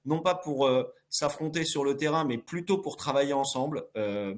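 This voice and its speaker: A man's voice: fairly high pitch, normal speed. A man hosting a business webinar, speaking politely and formally, with frequent fillers as he wraps up. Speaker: webinar host.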